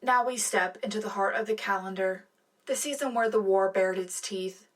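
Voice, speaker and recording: A woman's voice: mid-range voice, normal speed, natural voice. A woman playing a polished, earnest co-anchor on a propaganda radio broadcast in a science fiction war drama, reading scripted news. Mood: poised, rousing. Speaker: radio show co-host.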